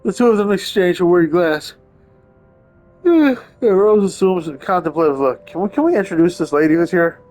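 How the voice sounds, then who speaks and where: A man's voice: sits high, moderate pace, darkish tone. A man doing casual let's-play commentary and reading narration aloud, with wry, sarcastic asides. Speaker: game streamer playing a visual novel. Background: game background music.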